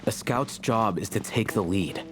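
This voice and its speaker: Laid-back male game scout, very low pitch, lively intonation, dry teasing, faint music behind. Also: a little slow. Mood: nonchalant. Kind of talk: video game voice acting.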